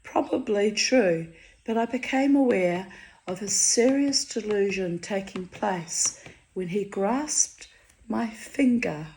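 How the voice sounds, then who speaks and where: A Hungarian-born woman reading her own diary entries aloud, wry and theatrical, her voice swinging high and low for effect. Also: slow pace, poor audio. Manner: wry, theatrical, dramatic swings of pitch and loudness.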